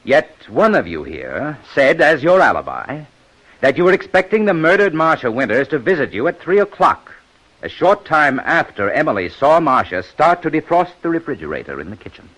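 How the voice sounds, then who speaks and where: An actor playing a methodical, shrewd police inspector in a 1950s radio detective drama, with deliberate, probing questions on an old radio recording. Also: male voice, fairly high pitch, big pitch swings, a bit bright, rough recording. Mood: shrewd, musing.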